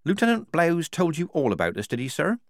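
A man's voice: a little high, wildly swooping pitch, fairly quick, no room sound, voice pushed up. A man doing a blunt, weary young woman soldier. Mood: dutiful. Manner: dry, plainspoken, exasperated. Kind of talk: audiobook character voice.

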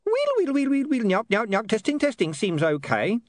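A man voicing a nervous little male imp that lives inside a gadget, with a squeaky, babbling manner. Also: huge pitch swings, fast talker, dry recording, clean studio sound, full character voice. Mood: chirpy.

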